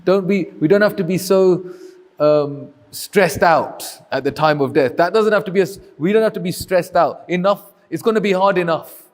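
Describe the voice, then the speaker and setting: A man's voice: sits high, moderate pace, big-room sound. A man teaching a group, answering questions with patient, reassuring explanations. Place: community hall.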